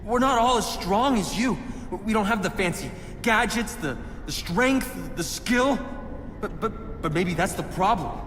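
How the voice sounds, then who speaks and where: High, echoing, faltering man in distress. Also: lively intonation, a bit bright. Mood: anguished. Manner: halting, stammering. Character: distressed, faltering ordinary man pleading his weakness. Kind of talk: dramatic video game voice acting. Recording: echoey hall, long reverb, faint noise.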